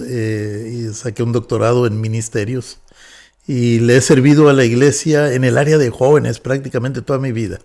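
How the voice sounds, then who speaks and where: A man in his fifties with a Mexican Spanish accent speaks as a pastor on a podcast. He talks in a calm, measured way and pauses often on "eh" between thoughts. His voice sits at an ordinary middle pitch. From northwest Mexico. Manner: measured, with frequent "eh" fillers. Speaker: pastor and guest. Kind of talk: relaxed podcast interview.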